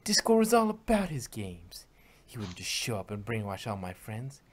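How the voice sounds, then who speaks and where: A man's voice: on the low side, huge pitch swings, moderate pace. A man reading the lines of a determined young female unicorn heroine, earnest and defiant. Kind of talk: fan reading of a story with voices.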